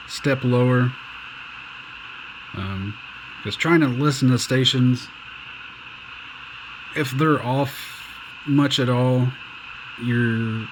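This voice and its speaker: A man talks through a gadget in a relaxed, plainspoken way, taking his time over each feature. His voice sits at an ordinary pitch and moves at a slow, easy pace. A faint hiss of room noise runs underneath. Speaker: radio reviewer. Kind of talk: casual hands-on product demo.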